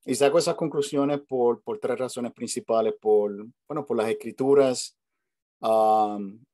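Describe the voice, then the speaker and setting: A man's voice: medium pitch, a little slow, warm tone, dry recording, clean studio sound. Hesitant Puerto Rican man on a video call. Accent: Puerto Rican Spanish. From Puerto Rico. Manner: hesitant, many fillers and restarts. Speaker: host. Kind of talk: casual video call conversation. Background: family noise at home.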